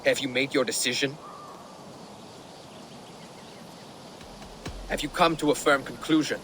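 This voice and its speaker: An actor playing a troubled, solemn Viking clan leader in a video game, speaking in a measured way. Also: male voice, mid-range voice, fairly even intonation, background noise, natural voice. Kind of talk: video game dramatic acting. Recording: outdoor game ambience behind voice.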